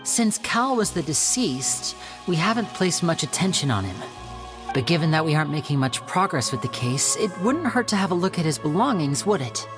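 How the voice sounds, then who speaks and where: Young defender, game role: lower register, lively inflection, courteous, composed, persuasive. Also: female voice, moderate pace. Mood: calm, persuasive. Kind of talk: video game voice acting. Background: game music.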